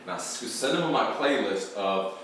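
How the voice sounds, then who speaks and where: Iranian-born investor, middle pitch, loose off-the-cuff teaching, distant mic, heavy room echo, faint background hiss. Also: male voice, lively intonation, normal speed, fairly bright tone. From Iran. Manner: loose and rambling, often checking in with "okay?", casual swearing. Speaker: real estate investor and channel host. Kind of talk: casual instructional talk to camera. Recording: very echoey room, mic far off.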